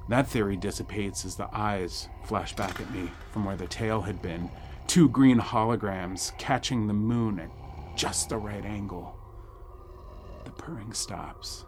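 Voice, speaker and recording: A man narrating a first-person horror audio drama in a wry, suspenseful way with dramatic pauses. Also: on the low side, slight background noise. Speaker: storyteller narrating a nightmare.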